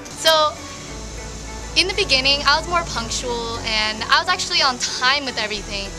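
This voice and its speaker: A woman's voice: high voice, animated, moderate pace, music in the background, low-quality recording. A young woman gives a playful, joking farewell testimonial.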